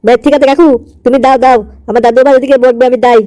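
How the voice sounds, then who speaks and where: Woman doing a high-voiced cheeky cartoon schoolboy. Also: level tone, racing, warm tone, no echo, clean studio sound, lightly acted. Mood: nervous, excusing. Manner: slangy, wheedling, brash. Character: cheeky, pushy, foul-mouthed cartoon schoolboy. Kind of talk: cartoon comedy dialogue.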